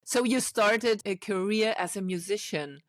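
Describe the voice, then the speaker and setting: A woman's voice: mid-range voice, unhurried, dry recording. A woman hosting an interview, a German speaker talking English, asking questions and adding brief encouraging remarks. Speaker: podcast host. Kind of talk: casual interview.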